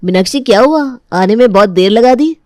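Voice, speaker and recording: Mellow, apologetic, worried spouse, acted by a woman. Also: a little high, expressive, a little fast, no room sound, clean studio sound, lightly acted. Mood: worried, pleading. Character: apologetic, worried husband of a pregnant wife. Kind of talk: dramatized Hindi family story acting.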